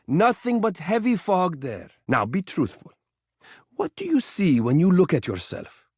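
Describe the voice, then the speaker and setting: A male actor voicing a suave living mirror in a video game, an adoring flatterer who gushes with theatrical drama. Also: sits high, a little slow, husky, no echo, studio quality, exaggerated voice. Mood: gentle, earnest. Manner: effusive, dramatic, gushing, doting. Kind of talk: video game character voice acting, romantic.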